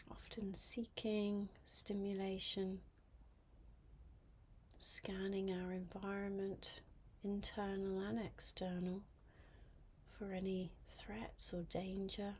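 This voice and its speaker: Slow, softly whispery woman guiding meditation. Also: medium pitch, a little hiss or hum. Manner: soft, breathy, half-whispered delivery; gentle, unhurried, with long pauses. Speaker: meditation guide. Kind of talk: guided mindfulness meditation.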